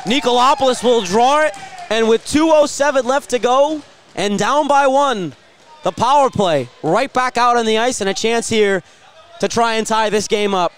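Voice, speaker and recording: High-pitched man calling live hockey play-by-play. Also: some chatter behind, slight background noise. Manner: rapid-fire descriptive calls with excited surges at big plays. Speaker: play-by-play radio commentator. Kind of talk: live ice hockey commentary.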